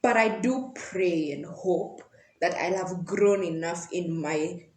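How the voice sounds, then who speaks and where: A woman's voice: on the low side, slow, slight background noise. A woman in her late teens with a Kenyan accent, chatting in a candid, self-mocking way with a group. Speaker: podcast panelist. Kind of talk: casual group chat. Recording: echoey room.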